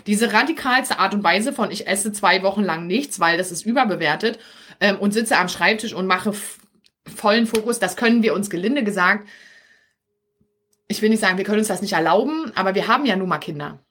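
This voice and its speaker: A woman's voice: mid-range voice, lively intonation, brisk, a bit bright, husky. A woman with a Berlin accent giving a live-streamed coaching talk, chatty and direct, often checking in with viewers. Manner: chatty and direct, tags points with "okay?". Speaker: business coach.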